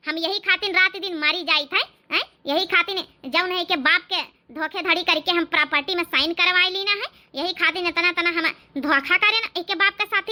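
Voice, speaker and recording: A woman's voice: very high voice, sharp tone, heavily acted. A woman playing a scheming rustic mother-in-law with an Awadhi accent, scolding and grumbling in a cartoon comedy. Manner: scornful, grumbling complaints.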